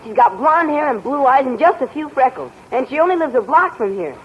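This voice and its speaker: A man's voice: extremely high pitch, sing-song, a little fast. A young man playing an earnest, chatty teenage son in a 1940s radio sitcom. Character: teenage boy, earnest and shy. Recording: muffled old radio broadcast with hiss.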